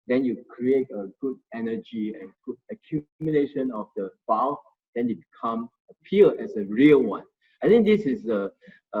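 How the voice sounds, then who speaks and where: A man giving an informal Buddhist study talk, speaking haltingly and often restarting as he searches for words. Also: mid-range voice, muffled recording. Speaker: Dharma sharing volunteer presenter.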